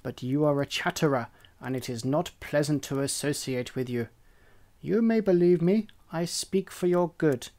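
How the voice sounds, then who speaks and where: A storyteller voicing a conceited, bossy hen who lectures in a raised, high voice. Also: moderate pace, a little mellow, close to an everyday voice. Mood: scolding. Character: bossy conceited hen, scolding and self-important. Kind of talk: storybook reading.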